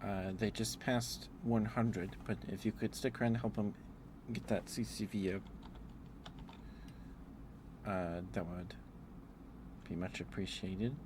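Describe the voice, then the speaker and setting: Male ASMR livestreamer, very low register, slow rambling delivery with hesitant pauses, casual chat. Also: steady tone, background noise.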